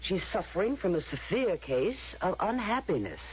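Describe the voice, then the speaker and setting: A woman playing a stern, ruthless authority figure in a radio drama, with a husky voice and a grave, commanding manner. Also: medium pitch, sing-song, moderate pace, a little mellow, a little hiss or hum, lightly acted. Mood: grim, urgent. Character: stern, ruthless female authority in future society. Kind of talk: 1970s radio drama acting. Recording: dull vintage radio drama recording.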